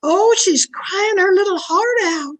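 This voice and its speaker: Extremely high-voiced, fretful housemaid. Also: female voice, measured pace. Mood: sympathetic. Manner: fretful, sympathetic. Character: anxious, soft-hearted housemaid. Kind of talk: 1940s radio comedy acting.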